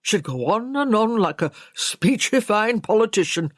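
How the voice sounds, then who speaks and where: A woman's voice: mid-range voice, expressive, unhurried, no echo, clean studio sound, pitched above the performer's own voice. A woman voicing a plain-spoken, frank male country servant in an audiobook. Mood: matter-of-fact. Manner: folksy, blunt.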